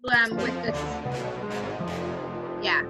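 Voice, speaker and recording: A woman's voice: fairly high pitch, extremely slow, music in the background. A woman explaining things casually on a video call.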